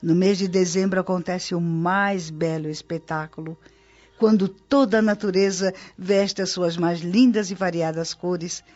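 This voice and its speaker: An actress with a Brazilian accent playing a devoted spirit-colony guide in a radio drama, explaining things in a reverent, articulate way. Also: female voice, on the low side, steady tone, a little slow, a little mellow, close to an everyday voice. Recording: dull lo-fi audio, clear music behind. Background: music.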